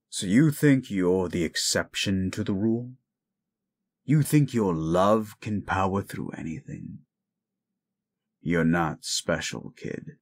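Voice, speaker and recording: A man playing a bitter, grieving, gruff werewolf in an audio drama, cynical and scolding. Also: sits low, heavily acted. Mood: scornful. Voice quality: gruff, gravelly. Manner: cynical, scolding, deliberate storytelling. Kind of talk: audio roleplay drama acting.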